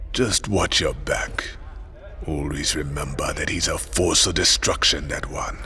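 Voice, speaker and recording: An actor playing a brooding, cryptic psychic outlaw in a video game, with a Caribbean accent and a gravelly, ominous way of speaking. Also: male voice, extremely low pitch, bright, forceful tone, exaggerated voice. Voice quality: gravelly, often breathy. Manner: slow, ominous, knowing. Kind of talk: video game cutscene acting. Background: music.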